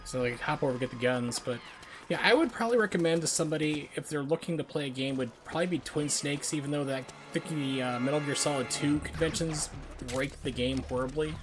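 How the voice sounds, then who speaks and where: A man's voice: on the high side, a little fast. Casual man streaming games, music behind. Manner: rambling, informal, opinionated. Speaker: game livestreamer. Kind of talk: casual live gameplay commentary, chatting with viewers.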